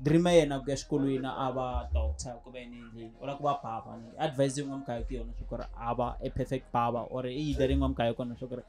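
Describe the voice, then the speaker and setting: A South African man in his thirties leads a relaxed conversation in a barbershop. His voice sits at a middle pitch, and he mixes English with Tsonga, often asking "you understand?". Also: racing, hardly any pauses. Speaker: podcast host. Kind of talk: casual chat. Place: barbershop studio, indoors.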